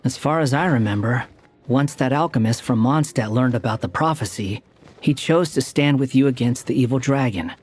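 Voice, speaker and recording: A man's voice: medium pitch, moderate pace, a little mellow, slight background noise, natural voice. A man voicing a terse young traveler who speaks in a curt, dry, weary way. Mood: practical.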